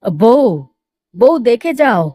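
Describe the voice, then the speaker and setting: A woman's voice: a little high, sing-song, slow, warm tone, no room sound. A woman voicing a worried village father of three daughters in a cartoon story. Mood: shocked, worried.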